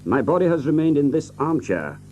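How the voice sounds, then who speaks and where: An actor playing a commanding, sharp-witted gentleman detective in an audio drama, authoritative, incisive and theatrical. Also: on the high side, very animated, brisk, dull audio, slight background noise. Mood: musing.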